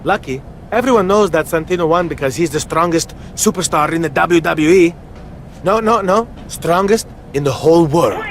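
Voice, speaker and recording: A man's voice: fairly high pitch, lively intonation, normal speed, a little hiss or hum. A man playing a boastful, clownish, dim-witted wrestler who brags about himself in the third person in a video game. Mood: boastful, comic. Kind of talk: comic video game cutscene acting.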